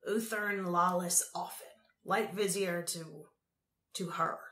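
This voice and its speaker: A woman's voice: on the low side, steady tone, takes it slowly, fairly bright tone, echoey room. A woman voicing a drunken old male vizier in an audiobook, pompous and vindictive. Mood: vague, pompous.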